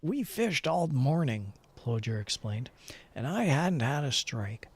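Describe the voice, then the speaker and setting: A man's voice: mid-range voice, very animated, slow, slightly rough. A man streaming a game, switching between playful chatter and theatrical story read-aloud.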